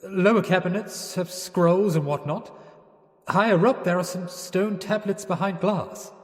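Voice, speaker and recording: An actor playing a bumbling, polite young English gentleman in an audio drama, hesitant and bewildered. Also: sits high, unhurried. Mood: uneasy. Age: young adult. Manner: hesitant, genteel, flustered. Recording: long hall reverb, music behind.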